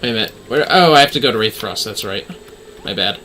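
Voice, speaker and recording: A man's voice: fairly low pitch, animated, quick pace, bright, forceful tone, slight background noise. A man giving casual, rambling livestream game commentary, swearing now and then. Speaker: game streamer.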